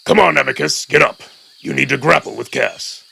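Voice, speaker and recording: Harsh gravelly old wolf villain. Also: male voice, medium pitch, moderate pace, sharp tone, exaggerated voice. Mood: impatient, commanding. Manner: barking curt orders.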